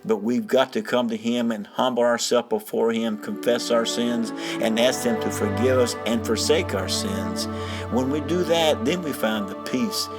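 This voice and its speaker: A man speaking as a storyteller and informal preacher, earnest and folksy, with emphatic pauses. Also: mid-range voice. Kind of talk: dramatized first-person storytelling turning to informal sermon. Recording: music bed under the voice. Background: music.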